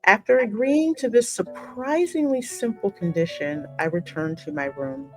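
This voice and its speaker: A woman's voice: medium pitch, normal speed, faint music behind, rough recording. A woman doing game playthrough commentary, switching between reading story text aloud and playful, colloquial chat. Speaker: gaming video co-host reading game dialogue aloud.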